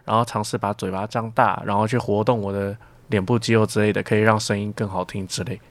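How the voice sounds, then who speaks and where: A man's voice: on the low side, level tone, fast, no room sound. A young man as a student guest in a casual radio interview, explaining things reflectively and repeating words as he goes. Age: young adult.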